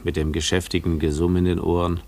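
Deep-voiced, withdrawn man. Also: level tone, a little fast, a little mellow. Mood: musing. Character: withdrawn, guarded man avoiding the world. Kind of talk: German radio play acting, literary dialogue.

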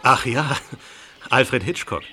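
A man's voice: medium pitch, expressive, takes it slowly, music in the background. A man voicing an evasive middle-aged wildlife park owner in an amateur radio play, with sing-song intonation.

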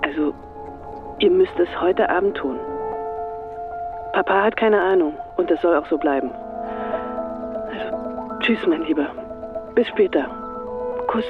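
A woman's voice: lowish voice, a little slow, pauses often. Muffled, secretive mother on voicemail. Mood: affectionate, conspiratorial. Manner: affectionate, conspiratorial. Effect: voicemail phone filter. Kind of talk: radio play acting. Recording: muffled voicemail, music behind.

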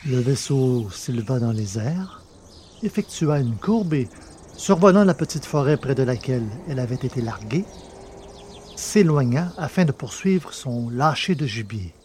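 A man's voice: mid-range voice, expressive, a little slow, a little mellow. Male sci-fi narrator, faint background noise. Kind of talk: scripted science-fiction story narration.

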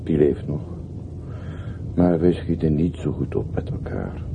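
A man's voice: very deep voice, lively intonation, unhurried, gravelly, exaggerated voice. An actor playing a plain-spoken, deferential working man in a radio play, politely and earnestly insistent, on an old recording. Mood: earnest, confiding. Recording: noisy, muffled, phone-like old radio recording.

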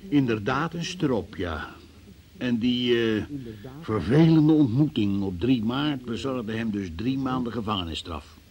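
An actor playing a stern, sarcastic examining magistrate in a radio play, brusque and probing in manner. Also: male voice, medium pitch, expressive, measured pace, a little mellow, muffled recording, lightly acted. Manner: brusque, sardonic, interrogating. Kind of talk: Dutch-language radio play acting.

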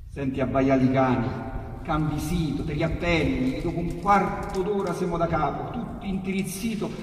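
A man with a Roman accent theatrically reciting dialect sonnets, swinging between hushed and loud passages. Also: a little high, a little hiss or hum. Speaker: poetry reciter. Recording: echoey hall, distant mic, piano.

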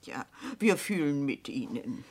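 An actress in a radio play playing a dry, inquisitive bureau assessor, husky and terse. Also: female voice, very deep voice, big pitch swings, a little slow, full character voice. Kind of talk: German radio play acting.

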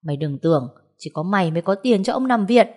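A woman voicing a rude, grasping middle-aged aunt who wails and scolds loudly in an audio story. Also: medium pitch, expressive, quick pace, close to an everyday voice. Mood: scornful. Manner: wailing, scolding, loud.

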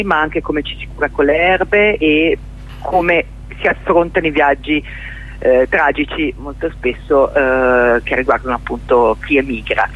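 A middle-aged woman with a husky voice gives a radio interview over a dull, lo-fi link. She explains things reflectively, pausing to search for words and restating phrases for emphasis. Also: deep voice. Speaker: interview guest, theatre journalist and performer.

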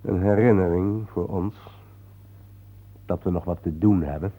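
A male actor in a Dutch radio play, playing a calm, enigmatic guide haunted by war, speaking in a measured, patient, cryptic way. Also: low-pitched, slightly rough. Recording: noisy, thin phone-like old radio recording.

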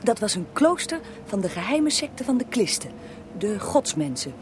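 An actress playing an eager, awed young female journalist with an animated, inquisitive manner in a radio drama. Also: mid-range voice, normal speed, noisy background, close to an everyday voice.

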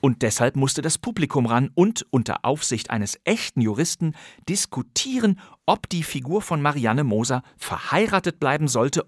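A man's voice: medium pitch, husky, no echo, studio quality. A man hosting a podcast, presenting vintage radio crime plays in a playful, theatrical way. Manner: playful, theatrical, relishing old thriller titles. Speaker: podcast host.

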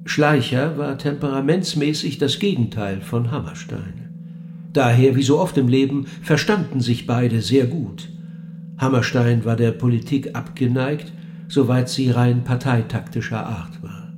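An actor playing a cool, probing interviewer of the dead in a German radio play, speaking in a measured, dry and pointed way. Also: male voice, mid-range voice, darkish tone, slight background noise, close to an everyday voice. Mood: measured reciting.

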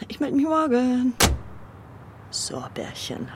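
An actress playing a cool, flirtatious con artist with a breezy, coy manner in a radio drama. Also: female voice, high-pitched, big pitch swings, takes it slowly, background noise, exaggerated voice. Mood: impatient.

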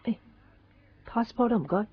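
Actress as a chatty airline clerk, dull sound. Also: female voice, a little high, expressive, moderate pace, natural voice. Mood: businesslike, chatty. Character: brisk, chatty female airline ticket clerk. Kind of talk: Cantonese radio drama acting. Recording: dull radio recording, faint noise.

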